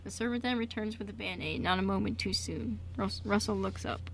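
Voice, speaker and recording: Woman reading out radio play narration, bit halting, croaky fry, slight hum behind. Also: medium pitch, steady tone.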